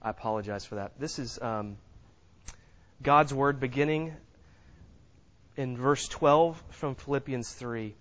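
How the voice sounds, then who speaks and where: A man preaching a sermon as a visiting minister in a church, speaking softly with many fillers and pauses, with no microphone. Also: medium pitch, lo-fi sound. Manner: soft-spoken, halting with frequent fillers. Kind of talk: sermon with scripture reading. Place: church sanctuary. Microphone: no microphone, distant.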